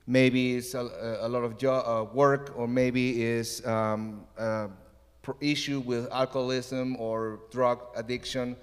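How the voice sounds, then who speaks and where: Man from Ecuador, middle-aged, missionary, earnest, hesitant, mid pitch, reverberant hall. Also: little pitch movement. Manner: earnest, halting with many fillers. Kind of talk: church missions update.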